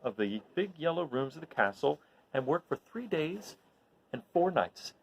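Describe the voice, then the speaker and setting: A man reads a storybook aloud to his listeners. His delivery is animated and very varied in pitch, and his voice has a creaky, gravelly edge. He tells the tale expressively, as if to children. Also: poor audio. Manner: animated, recapping with questions to listeners. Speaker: storyteller reading aloud to an audience.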